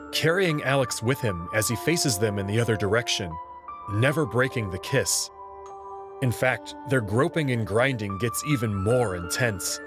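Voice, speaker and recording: Man narrating a story over music. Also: mid-range voice. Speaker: narrator reading a visual novel. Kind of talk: scripted first-person narration. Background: prominent music.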